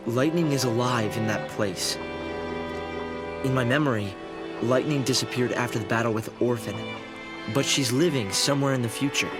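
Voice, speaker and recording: A man acting as an idealistic young research director in a video game. He speaks in a measured, articulate, earnest way at a middle pitch, with a loud musical score underneath. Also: over loud music, natural voice. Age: young adult.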